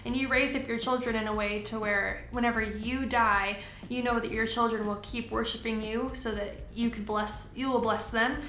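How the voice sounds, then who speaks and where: A woman's voice: on the high side, moderate pace, hardly any pauses, a little hiss or hum. Young woman missionary, echoey room. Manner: relaxed, many "like" fillers, laughs easily. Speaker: missionary in Taiwan. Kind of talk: casual Q and A chat.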